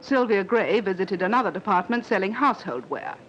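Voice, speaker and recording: Lively British woman newsreel narrator, dull recording. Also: mid-range voice, a little fast. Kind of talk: 1950s scripted newsreel narration. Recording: dull 1950s newsreel with faint noise.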